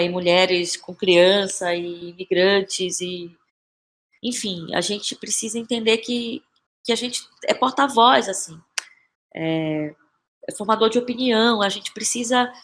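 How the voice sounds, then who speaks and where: Brazilian woman, thoughtful and halting. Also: mid-range voice, a bit bright. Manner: thoughtful, halting, searching for words. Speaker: guest playwright and actress. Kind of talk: reflective interview conversation.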